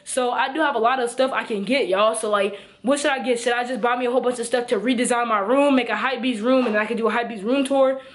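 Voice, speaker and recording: A man's voice: extremely high pitch, steady tone, fast, few pauses, a bit bright. A teenage boy with an American accent, chatting casually and slangily to the camera as a vlogger. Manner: slangy, informal. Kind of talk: casual vlog chat to camera. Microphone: camera at arm's length.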